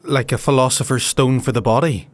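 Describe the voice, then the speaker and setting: A man's voice: medium pitch, steady tone, a little fast, natural voice. Man as guarded, shrewd outlaw lieutenant. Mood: guarded, curious. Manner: terse, guarded, questioning. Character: watchful, shrewd male outlaw lieutenant, guarded and level-headed. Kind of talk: dark fantasy audio drama acting.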